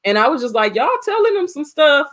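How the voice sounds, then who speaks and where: A woman's voice: high voice, sing-song, brisk, fairly bright tone. An American woman co-hosting a film review, chatting casually in a blunt, colloquial way. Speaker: podcast co-host reviewing films. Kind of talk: casual chat, film discussion.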